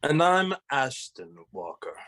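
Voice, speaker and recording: A man playing a haughty, intellectual thirty-year-old detective in an animated comedy table read, with formal phrasing. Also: a little high, takes it slowly, full character voice. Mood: formal, dry.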